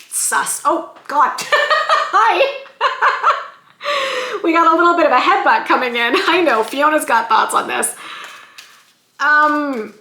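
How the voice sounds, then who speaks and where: A woman reading tarot in a chatty, sassy way, often saying "you guys," with a slightly hoarse voice. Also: sits high, sharp tone, roomy sound, slight background noise. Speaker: tarot reader. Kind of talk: casual tarot reading vlog.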